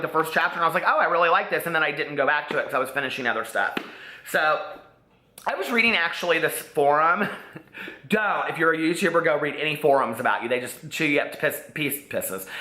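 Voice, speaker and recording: A man's voice: a little high, pushed and bright, roomy sound. A man from Indiana with a slight Southern drawl, chatting casually to camera as a book vlogger, with sassy asides. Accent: Midwestern American with a slight Southern drawl. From Indiana, USA.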